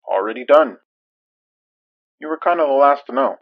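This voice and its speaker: A man's voice: mid-range voice, lively intonation, normal speed, no echo, narrow, tinny audio, lightly acted. An actor playing a guarded, businesslike man who speaks curtly and with reserve in an audio drama. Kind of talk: naturalistic audio drama acting.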